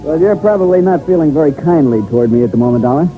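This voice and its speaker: An actor in a 1950s radio drama playing a breezy, money-minded town promoter with a brash, slangy, matter-of-fact manner. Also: male voice, fairly high pitch, expressive, very fast, soft background music, lightly acted. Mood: breezy, frank. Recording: tinny band-limited old radio recording, faint noise.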